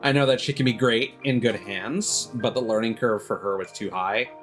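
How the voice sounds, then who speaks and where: A man's voice: medium pitch, moderate pace, fairly bright tone, faint music behind, poor audio. A man doing casual, rambling livestream chat as a game streamer, with chuckles here and there.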